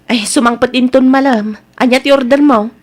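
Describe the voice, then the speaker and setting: A woman's voice: sits high, fairly even intonation, normal speed. A woman with an Ilocano Filipino accent playing a friendly, patient village market vendor in a radio drama.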